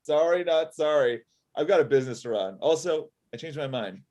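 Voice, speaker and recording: A man's voice: fairly high pitch, expressive, fairly quick, darkish tone, close to an everyday voice. A man playing a self-assured matchmaking boss with a casual manner in a comedy table read on a video call. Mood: breezy. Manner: casual, offhand. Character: breezy, self-assured male matchmaking business owner. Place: indoor room at home. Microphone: video call, sitting back from laptop.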